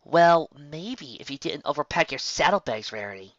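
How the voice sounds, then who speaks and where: A man's voice: fairly high pitch, animated, moderate pace, fairly bright tone, no room sound, lo-fi sound, voice pushed up. A man voicing a sensible, bossy female unicorn pony who leads her friends in a storybook reading. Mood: firm, sensible.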